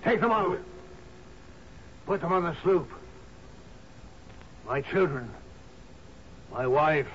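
A man's voice: fairly high pitch, animated, takes it slowly, long pauses. An actor playing a distraught, insistent bereaved father in a 1970s radio drama, speaking in short emphatic phrases. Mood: anguished. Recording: muffled, noisy 1970s radio broadcast.

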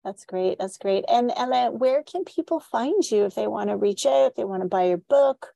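A woman's voice: on the high side, expressive, moderate pace, creaky, warm tone, no echo. A woman hosting a podcast interview, speaking in an affirming, conversational way. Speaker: podcast host. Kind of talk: casual interview talk.

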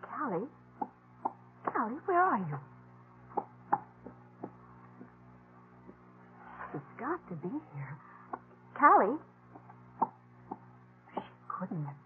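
Casting: desperate, defiant captive mother in a 1950s radio western. Highly animated delivery, thin vintage broadcast sound. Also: takes it slowly, pauses often, narrow, tinny audio, soft background music, full character voice. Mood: frantic. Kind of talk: 1950s American radio drama acting. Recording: noisy, band-limited 1950s radio recording.

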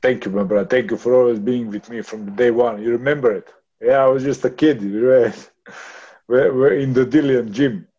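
A man's voice: mid-range voice, steady tone, normal speed, mellow, lo-fi sound. Swearing man in his thirties, laughing often. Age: 30s. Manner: rough, swearing, laughs often, calls others brother. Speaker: boxer. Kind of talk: casual interview.

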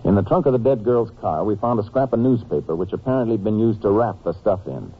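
A man acting a steady, shrewd Texas Ranger with an American accent in an old-time radio drama, in clipped, folksy Western talk. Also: fairly low pitch, fast talker, husky. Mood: businesslike. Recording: noisy, narrow-band old radio recording.

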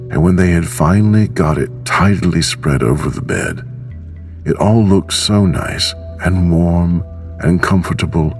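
A man with an extremely low, gravelly voice narrates a bedtime story. He reads gently and very slowly, with lively rises and falls in pitch. Music plays behind him. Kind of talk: slow scripted storybook narration for sleep.

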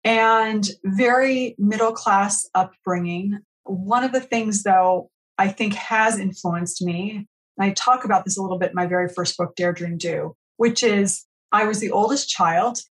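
A woman's voice: mid-range voice, unhurried, rough recording. A middle-aged woman from California, a podcast guest speaking in an earnest, reflective way about her own life. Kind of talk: podcast interview, reflective storytelling.

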